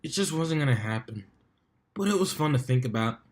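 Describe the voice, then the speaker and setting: A man's voice: mid-range voice, lively intonation, a little fast, close to an everyday voice. Man voicing a dry, jaded mare captain. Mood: resigned, wry. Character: droll, jaded female pony team captain.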